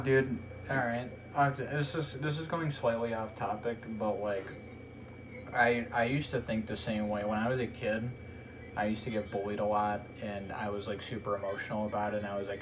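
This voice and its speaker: A man talking in a flat, monotone way in a casual podcast chat. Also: lowish voice, background noise. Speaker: podcast host.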